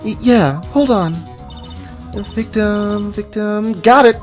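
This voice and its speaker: A woman's voice: medium pitch, takes it slowly, soft background music, lightly acted. A woman playing a curious, naive and playful young female sidekick in a voiced video game. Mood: eager. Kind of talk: fan voice acting of video game dialogue.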